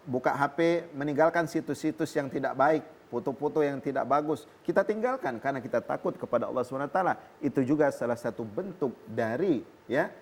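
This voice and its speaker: A man with an Indonesian accent, a preacher answering a viewer's question on a TV program, explaining calmly and quoting Arabic scripture. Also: medium pitch, brisk, slightly rough. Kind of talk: religious talk on a television program. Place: television studio.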